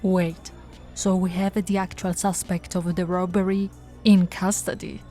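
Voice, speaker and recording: A woman voicing a patient, teasing female police officer in an ASMR audio roleplay, speaking softly and coaxingly to the listener, recorded close to the mic. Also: on the low side, level tone, measured pace, soft background music, lightly acted. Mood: matter-of-fact. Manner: soft-spoken, coaxing, playfully flirtatious. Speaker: ASMR voice actress.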